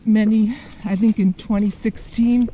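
A middle-aged woman chatting casually on a hike by a lake and along wooded trails, halting and restarting as she explains. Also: medium pitch, steady tone, warm tone, slight background noise, poor audio. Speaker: vlogger on a hike. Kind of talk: casual outdoor chat. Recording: outdoor camera audio, insects and breeze behind.

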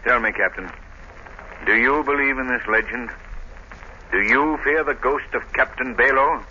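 An actor playing a cool, inquisitive, wealthy gentleman in polished 1930s radio drama style. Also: mid-range voice, moderate pace. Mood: cool, probing. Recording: dull, noisy 1930s radio recording.

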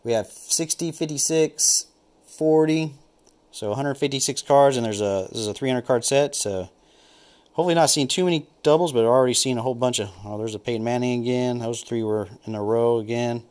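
Man, casual card-opening chatter, average pitch, thinking aloud, names cards one by one, recorded on a camera mic at a table. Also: fairly quick. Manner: relaxed, thinking aloud, naming cards as he flips. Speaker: card collector opening packs. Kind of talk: casual unboxing commentary.

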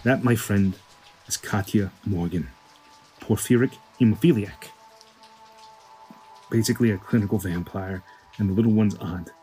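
A man's voice: on the low side, normal speed, long pauses, mellow, faint music behind, close to an everyday voice, low-quality recording. A man playing a wry, streetwise fixer in an audio drama, stammering and cursing as he talks in slang. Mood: wry, confiding. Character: shady male store-running fixer, wheedling, wry, streetwise.

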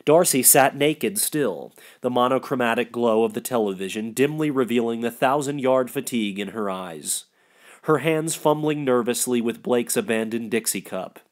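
A man's voice: mid-range voice, moderate pace. A man giving a scripted narration of literary fiction as the reader.

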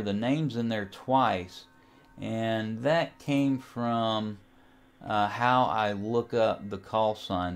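Low-pitched man in a casual tutorial. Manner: hesitant, unscripted, thinking aloud while operating. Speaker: amateur radio enthusiast, tutorial host. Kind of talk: casual screen-recording software tutorial.

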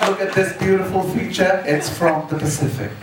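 A man's voice: sits high, fairly even intonation, moderate pace, distant mic. A speaker from Tonga with a male-sounding voice, giving a playful, theatrical, humorous self-introduction. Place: hall, indoors. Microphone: handheld microphone. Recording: loud noise, very echoey room.

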